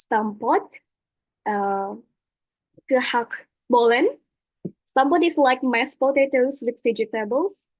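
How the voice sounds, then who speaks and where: A woman's voice: on the high side, slow pace, long pauses, no room sound, low-quality recording. A young woman from Indonesia giving a webinar talk over slides, hesitant with many fillers and restarts. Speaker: webinar speaker. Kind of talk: presentation with slides.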